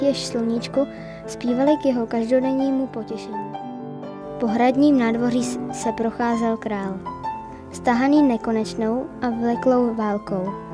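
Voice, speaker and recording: A woman reading scripted narration of a fairy tale as the storyteller. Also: a little high.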